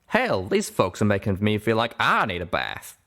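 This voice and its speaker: A man's voice: fairly low pitch, wildly swooping pitch, moderate pace, a bit bright, full character voice. A man voicing a proud, stubborn cowboy pony gunslinger with folksy, drawling speech in a storybook reading. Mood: grumbling.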